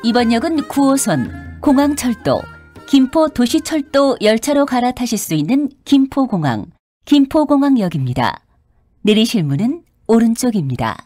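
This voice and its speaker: Lady doing subway announcements, polite n formal, kinda high voice, pretty animated pitch. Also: normal speed, soft background music. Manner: polite, formal, carefully enunciated. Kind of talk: scripted public transit announcement.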